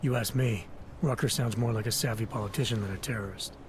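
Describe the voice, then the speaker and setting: A man playing a gruff male special agent in a video game, clipped and skeptical. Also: fast talker, a little hiss or hum, natural voice. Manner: clipped, low-key and skeptical.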